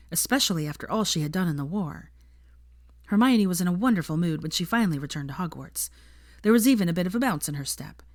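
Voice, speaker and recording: A woman's voice: lowish voice, a little mellow, no echo, clean studio sound. A woman narrating a story from a script in an audiobook style. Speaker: audiobook-style fan fiction reader.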